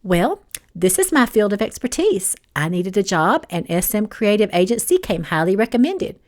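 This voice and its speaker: A woman's voice: mid-range voice, big pitch swings, moderate pace, close to an everyday voice. A woman voicing a guarded young female secretary in an audiobook, politely feigning innocence. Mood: feigned innocence. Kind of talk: audiobook character dialogue.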